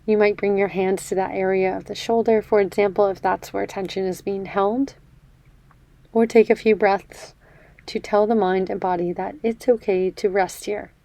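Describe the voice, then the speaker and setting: A woman leading a guided meditation in a gentle, measured, soothing way, leaving pauses between phrases. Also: mid-range voice, steady tone, dry recording. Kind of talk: guided meditation, body scan.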